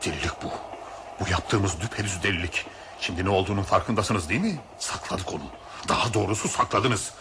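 A man's voice: deep voice, a little fast, noisy background. An actor playing an argumentative, self-protective male train passenger in a radio play, dismissive and formal. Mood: agitated. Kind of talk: Turkish radio theatre acting.

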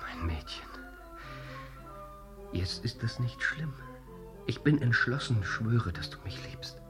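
An actor in a German radio play playing a hot-tempered, bullying troupe master who swings abruptly between fury and softness. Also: male voice, mid-range voice, animated, takes it slowly, music in the background. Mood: subdued, softened. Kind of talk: German radio play acting of a period comedy.

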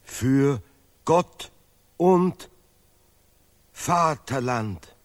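An actor playing a pompous, sentimental patriotic scholar in an absurdist radio play, declaiming haltingly in broken grammar. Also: male voice, medium pitch, animated. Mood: pathetic, dying. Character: pompous male Nobel laureate scholar, bombastic, sentimental patriot.

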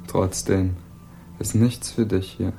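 An insolent wannabe hippie, with a lowish voice and an extremely slow drawl. He taunts and dodges in street slang, as in a sixties German radio thriller. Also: fairly even intonation, gravelly, dark tone, exaggerated voice, poor audio. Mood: sullen, taunting. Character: insolent small-time male hippie, mocking and evasive. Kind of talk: 1960s German radio crime drama acting.

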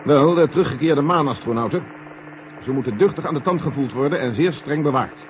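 A male actor plays a measured, authoritative general in a science-fiction radio drama. His male voice sits a little high and he speaks with deliberate command. The vintage recording is dull, with faint hiss. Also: natural voice. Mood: decisive. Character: authoritative space agency general, measured and decisive. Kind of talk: 1970 Dutch radio drama acting.

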